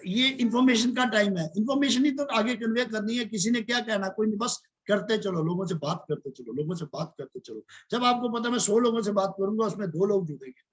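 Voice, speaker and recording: High, husky man as a business mentor. Preachy motivational talk, rhetorical questions, lots of repetition. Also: lively intonation, brisk, few pauses. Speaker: business mentor leading a team meeting.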